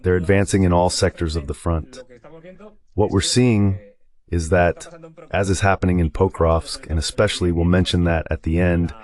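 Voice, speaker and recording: A man's voice: deep voice, steady tone, normal speed, darkish tone. A man giving explanatory, conversational commentary on a war as a guest analyst in a dubbed live talk.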